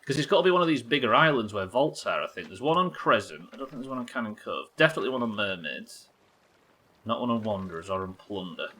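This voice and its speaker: A man's voice: on the low side, lively intonation, fast talker, bright, forceful tone, breathy edge. A man doing casual livestream game commentary, thinking aloud, questioning himself and swearing now and then. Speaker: live game streamer.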